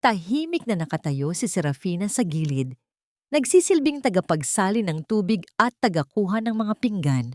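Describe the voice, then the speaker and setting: A woman's voice: medium pitch, very animated, moderate pace, darkish tone, dry recording. A woman reading scripted dramatic narration as the storyteller of an audio drama.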